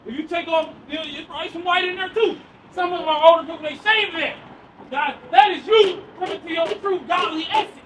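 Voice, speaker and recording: High bright male street preacher, shouting, outdoors, distant mic, faint street noise. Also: fairly quick. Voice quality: loud and projecting, near yelling. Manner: asks the crowd questions and answers them. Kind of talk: loud open-air street preaching.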